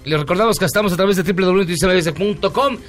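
A Mexican man hosts a radio talk show and chats easily with his co-hosts. His voice sits a little high for a man, and faint music plays underneath. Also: very fast, fairly bright tone. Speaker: radio host.

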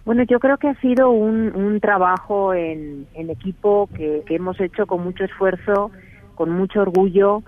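A middle-aged woman with a Spain Spanish accent, calling in as an interview guest, speaking earnestly in measured phrases with many small restarts. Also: mid-range voice. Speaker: guest, TV production company head. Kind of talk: phone-in interview answers. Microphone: telephone. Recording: tinny phone line.

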